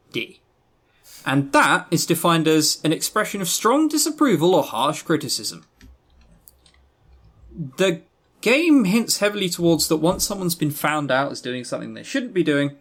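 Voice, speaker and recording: A man's voice: fairly high pitch, fairly bright tone. A man co-hosting a podcast, giving an emphatic, rambling, opinionated review in casual talk. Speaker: podcast co-host. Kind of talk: casual review commentary on visual novels.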